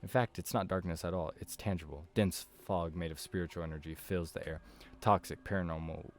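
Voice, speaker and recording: A man's voice: low voice, steady tone, brisk. A man reading a visual novel aloud as narration, as a game streamer does. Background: background music.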